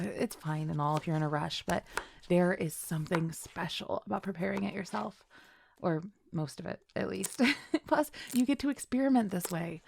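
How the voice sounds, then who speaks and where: A woman's voice: fairly low pitch, gravelly, a little mellow, a little hiss or hum. A woman playing a chill, teasing young best friend in a casual, intimate roleplay audio, chatting playfully with little hesitations. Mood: warm, chatty. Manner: laid-back, playful, giggly, hesitant little fillers.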